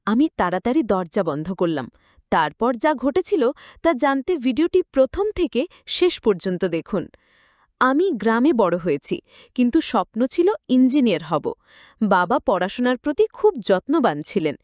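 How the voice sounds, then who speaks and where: A woman's voice: fairly high pitch, darkish tone, no room sound. A woman reading a scripted, emotional story as its narrator.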